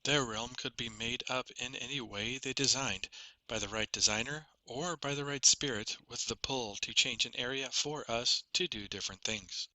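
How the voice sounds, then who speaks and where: A man's voice: medium pitch, moderate pace, pushed and bright, no echo, poor audio. A man reading a first-person fantasy story as a scripted audiobook narration.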